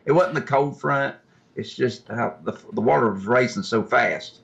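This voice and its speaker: A man's voice: mid-range voice, moderate pace, vocal fry, fairly bright tone. A man with an American accent chatting casually as a guest. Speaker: podcast guest, kayak angler. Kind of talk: casual chat. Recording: slightly dull, muffled audio.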